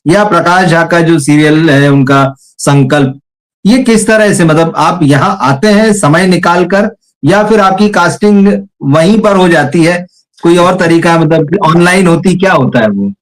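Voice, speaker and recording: Rambling male interviewer, slightly high voice. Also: measured pace, rough recording. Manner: long rambling questions, frequent short acknowledgments. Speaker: interviewer, host. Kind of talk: casual interview questions.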